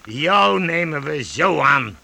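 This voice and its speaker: A man acting in a Dutch radio play as a rough, jovial leader of a medieval vagrant band, bossy and halting. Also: fairly high pitch, sing-song, pushed and bright, heavily acted. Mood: hearty, commanding. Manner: hearty, bossy, halting with interjections.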